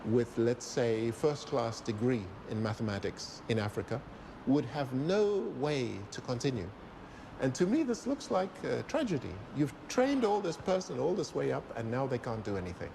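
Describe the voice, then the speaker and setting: A man in an interview speaking in an animated way, with strong rises in pitch. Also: vocal fry, background noise.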